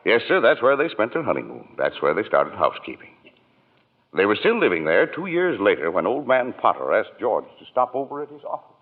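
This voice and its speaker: Senior angel: low, authoritative, musing storyteller for radio drama, narrow vintage broadcast sound. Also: male voice, wildly swooping pitch, a little fast. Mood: fond storytelling. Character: heavenly superintendent angel, patient storyteller in charge. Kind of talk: old-time radio drama narration. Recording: band-limited old radio broadcast recording.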